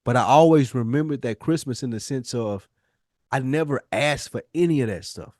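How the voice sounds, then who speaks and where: A man's voice: mid-range voice, normal speed, darkish tone, dry recording, studio quality. A man in his forties telling personal stories in casual podcast chat, slangy and profane, repeating phrases for emphasis. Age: 40s. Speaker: podcast co-host.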